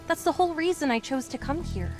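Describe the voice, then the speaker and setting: A woman's voice: sits high, lively intonation, quick pace. An actress playing a proud, restless young Spartan warrior woman in a video game, candid and earnest, with music behind her. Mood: frustrated, resolute.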